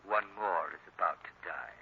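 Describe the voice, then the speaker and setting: An actor playing a sinister, mysterious villain menacing someone through a telephone filter in 1940s radio acting. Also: male voice, deep voice, moderate pace. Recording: muffled, noisy old radio phone call.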